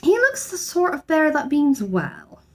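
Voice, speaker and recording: A woman voicing a stern but soft-hearted housekeeper in a storybook, imperious and deliberate in her delivery. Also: sits high, huge pitch swings, pitched above the performer's own voice, full character voice. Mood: guarded.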